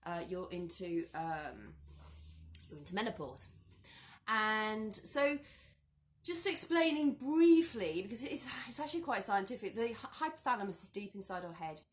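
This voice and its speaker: A woman, a little hoarse from a cold, talking casually to a phone camera in her living room, chatty and animated, often restarting her sentences. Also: mid-range voice, normal speed. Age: 40s. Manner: chatty, animated hand gestures, frequent restarts. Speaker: health vlogger. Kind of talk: casual explanatory talk to camera. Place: living room at home, indoors.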